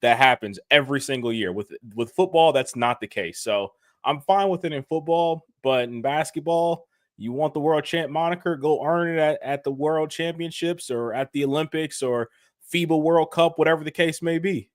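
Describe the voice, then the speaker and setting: A man co-hosting a sports podcast, explaining news and making his case in a measured, casual way. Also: fairly high pitch, no room sound, studio quality. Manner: measured, explaining, some restarts. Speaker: podcast co-host.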